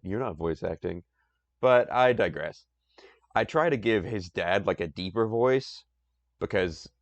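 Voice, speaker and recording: A man with a deep voice chatting casually on a live stream, rambling and self-deprecating. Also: gravelly, no echo, dull audio.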